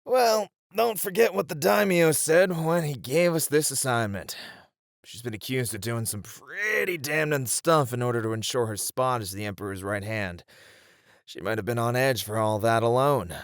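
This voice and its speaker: Playful, unflappable male ninja voiced by a man for ASMR audio drama; animated swings in pitch, gravelly fry, close dry sound. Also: moderate pace, no echo. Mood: musing. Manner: teasing, reassuring, animated.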